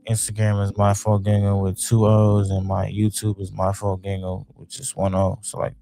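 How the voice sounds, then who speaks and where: A man of twenty from New York, a podcast guest talking in a laid-back, slangy way that trails off quietly. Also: on the low side, completely flat delivery, moderate pace, creaky, no echo. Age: 20s. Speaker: podcast guest, content creator. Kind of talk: casual chat.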